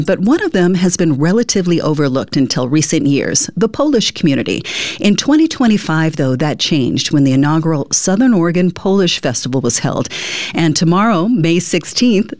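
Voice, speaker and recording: A woman's voice: deep voice, a little mellow, no echo, studio quality. A woman hosting a radio interview show, friendly and upbeat as she asks questions. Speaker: radio host.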